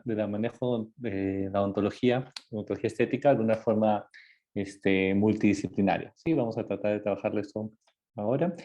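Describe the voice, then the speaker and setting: A man's voice: lowish voice, warm tone. A man from Ecuador, a dentist, giving an informal lecture in a conversational way with many fillers and tag questions.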